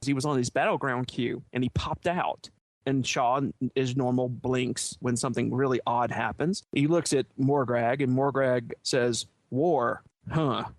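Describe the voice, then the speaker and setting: A man's voice: mid-range voice, fairly even intonation, measured pace, no echo. Guy casually chatting on a podcast, telling little stories, dry sound. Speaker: podcast panelist. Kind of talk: casual chat, telling game anecdotes.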